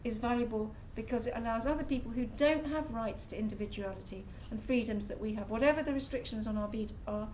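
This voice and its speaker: A woman speaking as a panelist in a public discussion, earnest and measured as she argues her point. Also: fairly high pitch, darkish tone, slight background noise, low-quality recording. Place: public talk venue.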